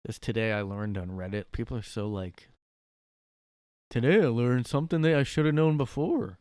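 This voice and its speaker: A man's voice: mid-range voice, moderate pace, a little mellow, clear, resonant tone, no room sound, studio quality. A man hosting a casual comedic solo podcast from his bedroom, rambling with jokes and fillers. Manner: rambling, jokey, with frequent fillers. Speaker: podcast host.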